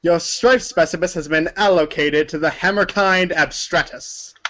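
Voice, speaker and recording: A man's voice: fairly high pitch, normal speed, bright, forceful tone, dull audio. A man reading scripted second-person narration for a comedy read-along.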